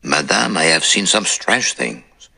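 A fussy foreign detective lays out his words slowly and with care, as a man puts him on. His tone is bright with a husky grain, and his pitch moves a lot as he makes each point. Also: sing-song, heavily acted. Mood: precise. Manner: slow, deliberate, clipped.

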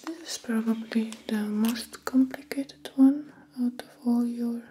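A woman in her thirties whispering gently and unhurriedly in an ASMR personal-attention roleplay, close to the mic in a small room. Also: a little high, measured pace. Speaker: ASMR roleplay host acting as make-up artist. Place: small decorated room indoors. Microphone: close microphone for ASMR.

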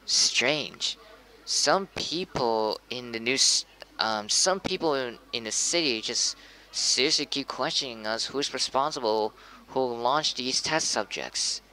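A man's voice: medium pitch, slow, sharp tone, poor audio. A man playing a hesitant local TV news anchor, informal and stumbling over his words in an amateur drama. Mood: questioning, hesitant. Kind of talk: amateur acted news interview.

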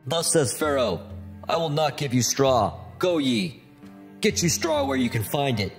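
Man acting high-pitched, animated harsh taskmaster. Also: unhurried, creaky, soft background music, exaggerated voice. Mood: commanding. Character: harsh, demanding male slave taskmaster. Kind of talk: dramatized scripture audiobook.

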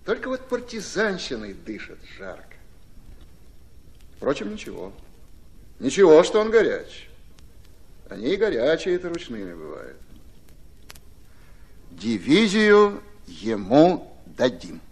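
A man's voice: a little high, huge pitch swings, slow, pauses often, darkish tone, full character voice. An actor playing an authoritative senior Red Army commander in a radio drama, weighing his words. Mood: thoughtful, approving. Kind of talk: Russian radio drama acting.

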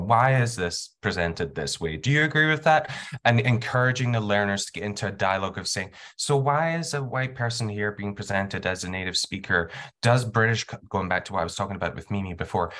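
Low-voiced guy in his 30s on a video call, webinar talk, acts out classroom bits. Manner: animated, acts out classroom dialogue. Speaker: webinar speaker. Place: home room.

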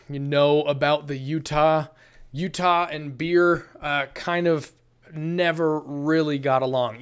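A man's voice: a little high, clean tone. A man in his thirties reviewing a beer from his desk in a casual, rambling way, full of ums and restarts. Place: small room, indoors, green screen. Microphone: desk camera, framed from the chest up.

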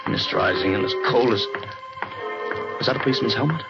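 A daring diplomat husband played by a man talks in a lowish voice whose pitch swings widely, clipped and emphatic. The sound is a muffled vintage radio broadcast with music behind it. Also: brisk, lightly acted. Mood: tense. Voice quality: lively, clipped, emphatic delivery. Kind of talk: 1940s radio acting.

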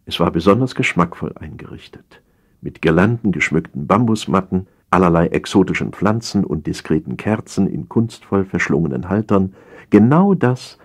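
A man reading a scripted humorous story as an audiobook narrator, in an expressive, theatrical storytelling manner. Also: low-pitched, moderate pace.

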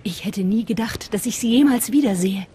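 A woman's voice: fairly quick, warm tone, natural voice. An actress playing a zealous, reverent female cultist with a bloodthirsty streak in a video game. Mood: awed, elated. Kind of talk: video game voice acting in German. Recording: low rumble and rain behind.

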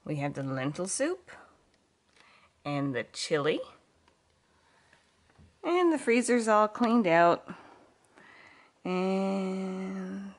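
Low-voiced woman giving a kitchen walkthrough. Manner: chatty, explains steps plainly. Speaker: homesteading vlogger. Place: home kitchen. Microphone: handheld camera.

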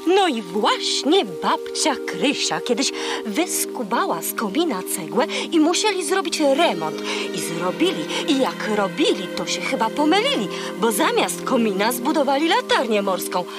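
A woman's voice: a little high, very animated, measured pace, loud music behind the voice. A woman voicing a boastful, chatty, hungry female seagull in a children's audio play, haughty and dramatic. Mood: boastful storytelling.

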